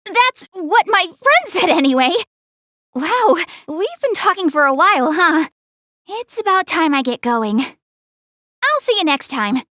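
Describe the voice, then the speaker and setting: A woman's voice: extremely high pitch, very animated, slow pace, pushed and bright, no room sound, clean studio sound, heavily acted. A woman voicing an earnest young goddess girl in an anime game, proud but shy, speaking to the player in a self-conscious, slightly defensive way. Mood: earnest, thoughtful. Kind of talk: English anime game voice acting, addressing the player.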